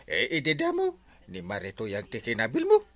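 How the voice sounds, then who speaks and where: A man's voice: medium pitch, wildly swooping pitch, fairly quick. A man with an Ilocano accent playing an exasperated village man scolding his erring friend in a radio comedy drama, with theatrical delivery. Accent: Ilocano, Philippines.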